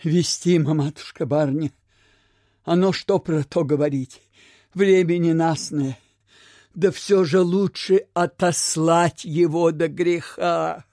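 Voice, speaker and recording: A woman's voice: sits low, fairly even intonation, slow, dark tone, dry recording, studio quality. A woman playing an anxious, plain-spoken peasant servant in a radio play, with deferential, folksy rustic speech. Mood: grave, deferential.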